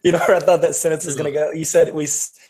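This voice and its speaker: Higher-voiced man on a casual podcast. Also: little pitch movement, fast, a little mellow, husky. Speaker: podcast co-host running a game segment. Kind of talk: casual joking chat.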